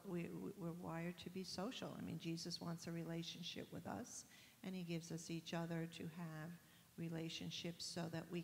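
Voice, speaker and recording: Older New woman, gravelly voice. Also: fairly low pitch, darkish tone. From New York. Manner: earnest, halting with fillers. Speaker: women's group leader. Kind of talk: church panel discussion. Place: church stage, large hall. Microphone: handheld mic. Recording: reverberant hall with faint hiss.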